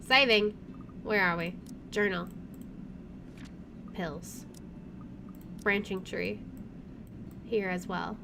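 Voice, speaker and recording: A woman's voice: medium pitch, steady tone, extremely slow, creaky, pauses often, noisy background. A woman gaming streamer reading visual novel narration aloud and adding casual asides.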